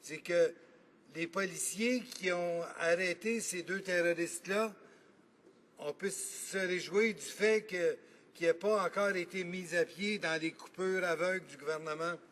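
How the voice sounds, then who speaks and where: A man with a Quebec French accent, a member of parliament giving an earnest, argumentative debate speech in a legislative chamber and pausing often to think. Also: sits high, a bit bright. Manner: earnest, argumentative, thinking aloud with frequent pauses.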